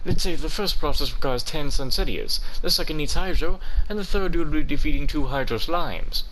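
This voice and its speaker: A man's voice: fairly high pitch, lively intonation, normal speed, fairly bright tone, slight background noise, low-quality recording. A man voicing a chatty young male inventor in a video game, explaining things theatrically. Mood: eager, explaining. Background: game music.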